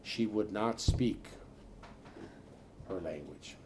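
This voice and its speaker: Older man, low and mellow, rambling. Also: slight background noise. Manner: rambling, halting, many fillers. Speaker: interviewee. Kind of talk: oral history recollection.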